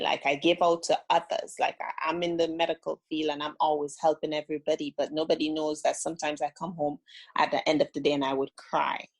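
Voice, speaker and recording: A woman with a Caribbean accent calls in and talks in a halting, earnest way, full of restarts. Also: sits low. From the Caribbean islands. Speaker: caller. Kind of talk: casual call-in conversation.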